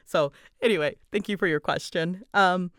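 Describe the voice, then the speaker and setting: Animated, rambling woman, dry close sound. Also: on the low side, moderate pace, no echo. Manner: animated, dramatic, voice swoops up and down; scattered, self-deprecating, giggly. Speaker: ASMR audio creator and voice performer. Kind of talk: casual rambling Q&A chat. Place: small closet used for recording.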